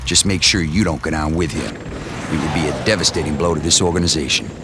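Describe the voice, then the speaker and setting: Dry commanding officer, deep and low, loud noisy audio. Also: male voice, fairly quick. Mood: grave. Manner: grave, clipped briefing. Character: stern male commanding officer, dry and measured. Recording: loud noise under game audio.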